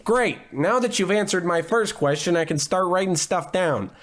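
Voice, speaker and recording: An actor playing a wisecracking, cheap private detective with a deadpan, hard-boiled delivery in 1940s radio noir parody. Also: male voice, high-pitched, normal speed. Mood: wry. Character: wisecracking, down-on-his-luck male private detective.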